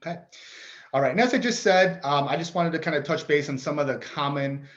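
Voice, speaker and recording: A man's voice: on the high side, a little fast, highs cut off. A man, a chiropractor, giving an informal talk to parents in plain words, with ums and kinda. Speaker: chiropractor presenting. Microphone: computer mic on a video call.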